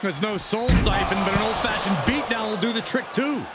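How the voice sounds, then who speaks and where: A man's voice: high voice, brisk, heavy background noise, natural voice. A man playing a wry color commentator in a wrestling video game, speaking colloquially. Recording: loud music under commentary.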